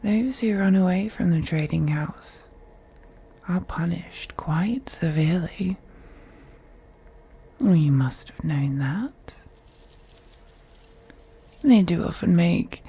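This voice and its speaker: A woman voicing a wealthy, possessive vampire in an audio roleplay, breathy and often whispering, with a teasing, haughty and condescending manner. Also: on the low side, warm tone, exaggerated voice. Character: wealthy, seductive, possessive female vampire toying with captive. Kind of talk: scripted audio roleplay monologue.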